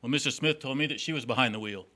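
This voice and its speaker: An actor playing a wry, probing, coolly skeptical district attorney in a noir stage drama. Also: male voice, mid-range voice, racing, sharp tone, natural voice.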